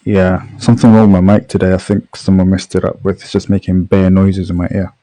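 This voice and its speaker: A man's voice: low-pitched, little pitch movement, brisk, warm tone, no room sound. A man on a voice chat, explaining his view step by step and checking in with "right?" and "okay?". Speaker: guest debating identity. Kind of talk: online voice-chat debate.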